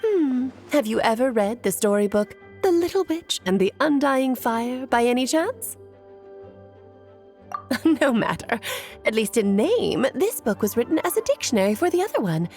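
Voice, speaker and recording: A woman's voice: on the high side, sing-song, moderate pace, a little mellow, faint music behind. A woman playing a playful, scheming, self-assured mage aunt in a video game, airy and teasing in a theatrical way. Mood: breezy, explaining.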